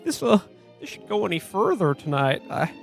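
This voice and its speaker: A man plays an earnest everyman from an old movie, stammering and halting through his words in a radio play parody. He is naive and bashful, with faint music behind him. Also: high voice, sing-song, creaky, exaggerated voice. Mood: awkward. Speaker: podcast host playing himself. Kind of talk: parody of 1940s film acting in a radio play.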